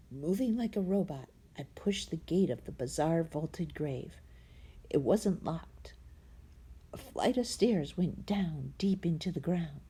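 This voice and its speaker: A woman reads a children's storybook aloud with very lively, swooping intonation. Her voice is husky and soft-edged, and she takes her time, leaning into suspense with hushed, breathy drops. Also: sits low. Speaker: storybook reader.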